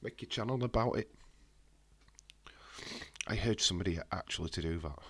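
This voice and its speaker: A man's voice: sits low, moderate pace, a bit bright, slight background noise. A man with a head cold, chatting casually and bluntly on a live stream, swearing freely and answering viewers' messages. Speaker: wrestling watch-along streamer.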